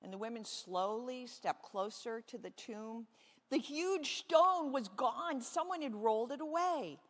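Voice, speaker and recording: Higher-voiced woman pastor in an echoey hall. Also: expressive, measured pace. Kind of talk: children's sermon, reading a Bible story aloud. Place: large reverberant church space. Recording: echoey hall with long reverb.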